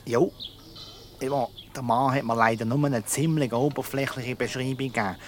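A man's voice: mid-range voice, fairly even intonation, normal speed, a little hiss or hum, close to an everyday voice. Man playing a bashful, stammering Swiss German private detective. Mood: chatty, flustered. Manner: hesitant, stammering. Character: bashful, flustered, gullible male private detective, easily charmed. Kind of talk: radio comedy acting.

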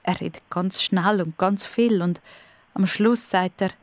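A woman's voice: medium pitch, measured pace, no echo. Swiss German woman narrating with music. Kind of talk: scripted children's story narration.

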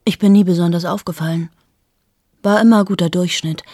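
An actress playing a cool, self-absorbed journalist in her late thirties in an intimate radio play monologue, speaking in a hushed, deliberate way that often drops towards a whisper. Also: on the low side, fairly even intonation, natural voice. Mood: rueful, detached. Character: 38-year-old female journalist, cool, amoral, confessional and self-absorbed.

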